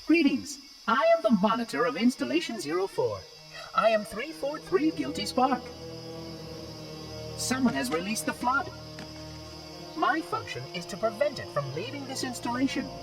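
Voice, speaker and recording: A small floating machine caretaker from a video game, precise and strangely chipper. The voice sits high and leaps around, with game score playing clearly behind it. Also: male voice, big pitch swings, normal speed, distant mic, over music, exaggerated voice, poor audio. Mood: cheerful. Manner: precise, formal, oddly cheerful. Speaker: monitor of an installation.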